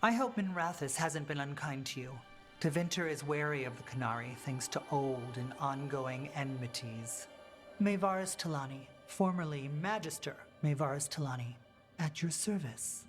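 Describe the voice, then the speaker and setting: A woman's voice: deep voice, moderate pace, lightly acted. A woman playing a poised, wry former magister and rebel leader in a fantasy video game, speaking formally and eloquently. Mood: composed, wry. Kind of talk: dramatic video game acting. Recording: music behind the voice.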